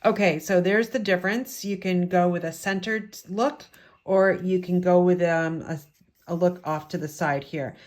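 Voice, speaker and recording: A woman with a slightly low, raspy voice, still getting over a head cold. She talks casually and explains each step of a craft tutorial as she works. She is from the United States. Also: steady tone, moderate pace, a little mellow. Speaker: card-making instructor. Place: home craft room with open windows.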